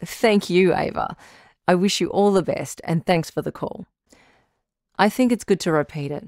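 Low-voiced, reassuring radio advice doctor played by a woman. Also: a little mellow, no echo, studio quality, close to an everyday voice. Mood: warm sign-off. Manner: measured, reassuring, counselling. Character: calm, reassuring woman doctor hosting relationship advice show. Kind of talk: audio drama radio call-in acting.

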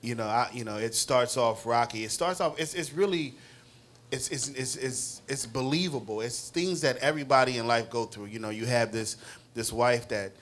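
A man's voice: medium pitch, normal speed, a bit bright. A middle-aged man, a playwright guest, talking animatedly on a panel in a restaurant, often repeating words, with a handheld mic. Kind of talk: casual panel interview. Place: restaurant with wood-paneled wall.

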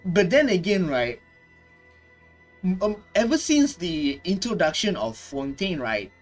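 A young man giving casual, off-the-cuff gaming commentary, speaking haltingly and repeating himself. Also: sits high, sing-song, faint music behind. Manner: halting, repetitive, often tagging phrases with "right". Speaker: gaming reaction video host. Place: small indoor room.

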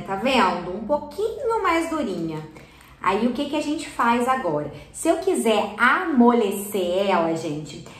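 A woman in her thirties hosts a hands-on slime tutorial, chatty and encouraging, checking in with viewers as she works. Her voice sits a little high. The sound carries a noticeable room echo from a small indoor studio. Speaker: slime channel host. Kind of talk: hands-on craft tutorial for kids. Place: indoor studio corner packed with colorful slime products. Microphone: fixed camera at table, mid shot.

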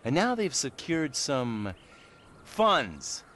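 A man's voice: mid-range voice, big pitch swings, extremely slow, a bit bright, full character voice. A man playing a cocky, bullying corrupt government agent in a video game, sarcastic, theatrical and bossy. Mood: self-important. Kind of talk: video game cutscene acting.

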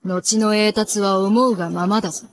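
A woman's voice: mid-range voice, steady tone, moderate pace, heavy background noise, lightly acted. A woman voicing a ruthless, imperious young princess and wrestler in an anime, speaking in haughty, archaic commands. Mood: cold, imperious. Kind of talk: anime voice acting.

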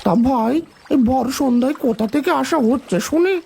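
A woman voicing a chatty, weary village ferry boatman with a creaky voice and a folksy, grumbling manner in a children's cartoon. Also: high voice, a little fast, dark tone, slight background noise. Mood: friendly.

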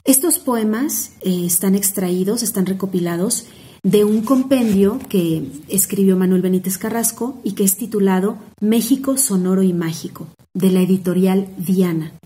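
A woman's voice: medium pitch, moderate pace. Mexican woman reciting poetry expressively. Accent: Mexican Spanish. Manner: expressive, rhythmic, savoring each word. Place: home room indoors.

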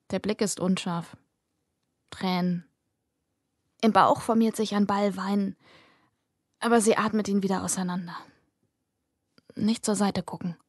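Gravelly female audiobook narrator. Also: medium pitch, no room sound, studio quality. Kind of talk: scripted fantasy narration.

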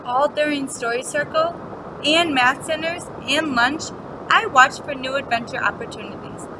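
High-voiced young woman reading to children. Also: moderate pace, noisy background. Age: young adult. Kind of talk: picture-book read-aloud with asides to children. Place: indoor classroom, seated.

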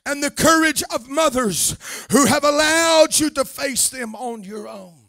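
A middle-aged American man preaching an impassioned church sermon in a forceful, emphatic manner, building his point through repeated phrases. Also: extremely high pitch, a little slow, gravelly, no room sound. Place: indoor church meeting room, at a pulpit. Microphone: handheld microphone held close.

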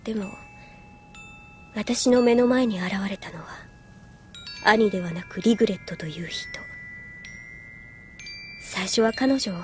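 An actress playing an earnest, reserved young woman soldier in an anime audio drama, composed and soft-spoken. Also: medium pitch, measured pace, long pauses, natural voice. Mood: reflective. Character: earnest, reserved young female soldier recalling her past. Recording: music behind the voice.

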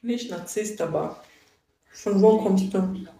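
A young woman with a Ukrainian accent talks slowly and haltingly into a phone held at arm's length. She chats in a loose livestream rant, often checking in with the listener. Her voice carries a roomy echo from the indoor space. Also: mid-range voice, mellow. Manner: halting broken German, often asks "weißt du?". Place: indoor room with a sofa.

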